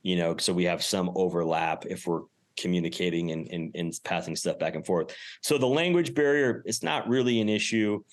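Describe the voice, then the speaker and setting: A man with a deep voice talks as a podcast guest. His delivery is casual and emphatic, with informal phrasing, like a business owner speaking plainly in an interview. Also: moderate pace, few pauses. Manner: emphatic, informal, mild swearing.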